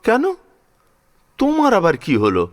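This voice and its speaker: A man's voice: very high voice, huge pitch swings, a little slow, full character voice. An actor playing a protective, grieving older father-in-law in a Bengali audio drama, speaking emphatically and emotionally with a Bengali accent. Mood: surprised, questioning. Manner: emphatic, emotional, repeats phrases.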